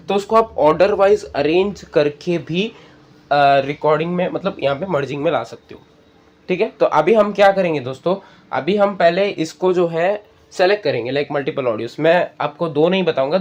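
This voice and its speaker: A man hosting an app tutorial, explaining step by step and addressing viewers as friends. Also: fairly high pitch. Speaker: tutorial host. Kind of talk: spoken screen-reader app tutorial.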